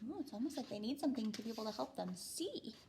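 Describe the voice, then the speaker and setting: A woman's voice: on the high side, quick pace, slight background noise. A woman teaching kindergarten, reading a picture book aloud expressively and explaining as she goes. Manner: expressive, explanatory, with stressed phrases. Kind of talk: picture book read-aloud for young children.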